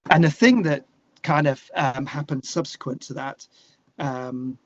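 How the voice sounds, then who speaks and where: A man with an English accent talking candidly and reflectively as an interview guest, searching for his words in a halting way. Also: medium pitch, dry recording, highs cut off.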